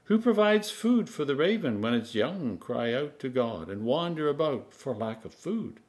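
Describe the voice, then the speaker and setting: A man in his sixties, an Anglican priest, reading scripture aloud in a measured, solemn, emphatic manner. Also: medium pitch, very animated, darkish tone. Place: home kitchen, indoors.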